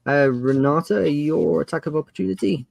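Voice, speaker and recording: A man's voice: a little high, moderate pace, vocal fry, darkish tone, no room sound. A man narrating an improvised tabletop game as game master, conversational and descriptive.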